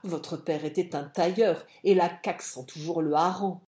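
A woman's voice: lowish voice, steady tone, moderate pace, mellow, roomy sound, lightly acted. A woman voicing a dramatic, coquettish young lady in an audiobook, exclaiming theatrically with indignation and pleading. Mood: scornful. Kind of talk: audiobook character acting.

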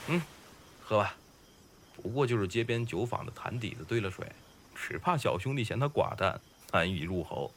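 A man's voice: on the low side, animated, normal speed, fairly bright tone, slight background noise. A man acting a genial, hearty scholarly fisherman in his thirties, courteous and self-mocking in archaic period-drama speech. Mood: genial, curious.

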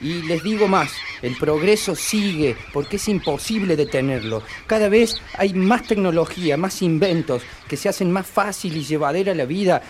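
A man's voice: high voice, lively intonation, moderate pace, few pauses, background noise. A man with a northern Argentine accent playing a restless young country man in a radio play who dreams of city progress. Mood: insistent, scornful.

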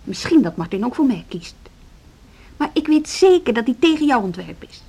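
An actress playing a determined younger deputy headmistress in a radio drama, insistent and argumentative. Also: female voice, a little high, big pitch swings, moderate pace, dark tone, breathy edge, heavily acted. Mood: firm, insistent. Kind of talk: Dutch social radio drama acting.

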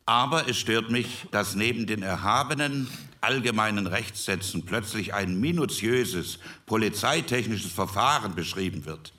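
Formal, principled statesman in debate: emphatic oratory, penetrating bright tone, some distance from mic. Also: lowish voice, lively intonation, normal speed. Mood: firm objection. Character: principled elder statesman arguing in a constitutional debate, aesthetically minded. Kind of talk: re-enacted committee debate.

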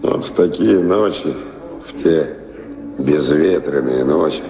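A deep, mellow wandering seafarer. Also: male voice, full character voice. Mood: wistful. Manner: musing, storytelling in short halting phrases. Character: wandering male seafarer and former pirate, talkative, nostalgic. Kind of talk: Russian audio drama acting. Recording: roomy reverberant hall sound.

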